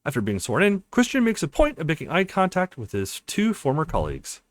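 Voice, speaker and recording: A man reading a visual novel aloud as a let's play host, with expressive, dramatized narration. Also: a little high, a little fast, no echo, studio quality.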